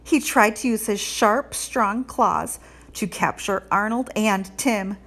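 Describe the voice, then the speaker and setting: A woman reading a children's storybook aloud in an expressive teacherly narration. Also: medium pitch, slow pace.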